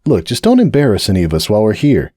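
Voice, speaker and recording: A man's voice: on the low side, huge pitch swings, quick pace, warm tone, dry recording, clean studio sound. A man voicing a serious young stallion who captains a sports team and lectures firmly. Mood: stern.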